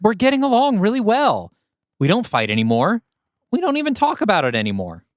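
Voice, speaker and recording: Young male lead, played by an actor, anxious dutiful son, hesitant and naturalistic, highly varied intonation, dry recording. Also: huge pitch swings, fairly quick, dry recording. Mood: insistent. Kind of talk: acted dialogue in an audio screenplay reading.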